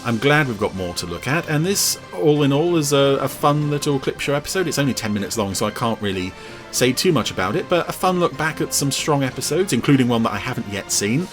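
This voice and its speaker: A man's voice: mid-range voice, lively intonation, fairly quick, over music. A man giving rambling, enthusiastic podcast commentary over cartoon clips. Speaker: podcast reviewer.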